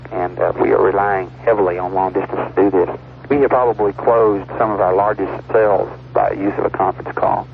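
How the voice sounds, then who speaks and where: A man's voice: lowish voice, vocal fry. A man speaking as a business customer in an interview-style testimonial for a vintage radio ad. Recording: tinny, lightly noisy vintage radio ad.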